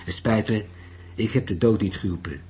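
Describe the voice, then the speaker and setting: Man reading as a low, languid veteran detective. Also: normal speed, darkish tone, close to an everyday voice. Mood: weary, dry. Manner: languid, terse. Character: world-weary veteran male police detective, dry, stoic. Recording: noisy recording.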